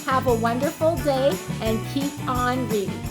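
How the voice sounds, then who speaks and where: A woman reading a picture book aloud for young children in an expressive teacherly way, pausing to explain words simply. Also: high voice, over loud music.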